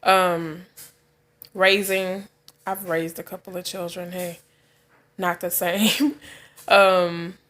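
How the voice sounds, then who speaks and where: A woman's voice: fairly low pitch, level tone, takes it slowly, long pauses, fairly bright tone. A woman in her forties chatting straight to her viewers, open and direct. She laughs easily and wanders into side thoughts. She sounds like she has only just woken up. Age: 40s. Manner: frank, chatty, laughs often, trails off into asides. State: just woke up. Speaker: vlogger. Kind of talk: casual chat to camera.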